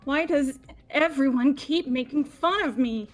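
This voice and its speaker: Casting: woman as depressive teen girl, high-pitched, sulky, bitter, video game character. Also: a little slow, faint music behind. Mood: bitter self-pity. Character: gloomy, resentful, self-pitying teenage girl.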